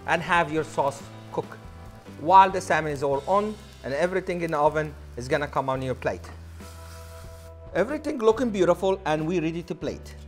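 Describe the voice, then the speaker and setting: A man's voice: fairly high pitch, animated, brisk, soft background music. A man in his thirties, a chef giving an enthusiastic cooking demonstration on a studio kitchen set, on a lapel mic. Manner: enthusiastic, non-native phrasing.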